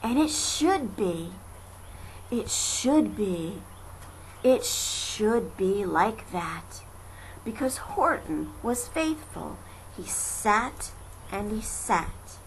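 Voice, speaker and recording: A woman reading children's verse aloud as an amateur storyteller, dramatic and deliberate in her delivery. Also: mid-range voice, sing-song, rough recording. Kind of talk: expressive children's verse narration. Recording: faint noise, amateur home recording. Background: faint ambient noise.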